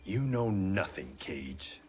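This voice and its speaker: A man's voice: sits low, very animated, slow, full character voice. A man playing a proud, stern Japanese clan swordsman in a video game, terse, intense and solemn. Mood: defiant. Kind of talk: cinematic video game acting.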